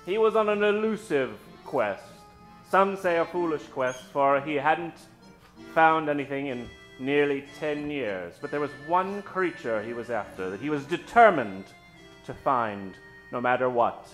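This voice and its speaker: A man hosting a live old-time radio show, introducing characters from the stage in a dramatic, drawn-out storytelling style. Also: on the high side, expressive, a bit bright, soft background music, low-quality recording. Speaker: host and announcer. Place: stage before a live studio audience. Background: musical accompaniment.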